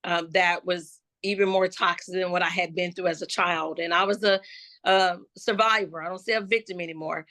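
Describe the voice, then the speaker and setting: Bright-voiced woman from Florida. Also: lowish voice, fairly even intonation, dry recording. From Jacksonville, Florida. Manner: slow, reflective, with many restarts and fillers. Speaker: gospel hip-hop artist guest. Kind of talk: personal life testimony in an interview.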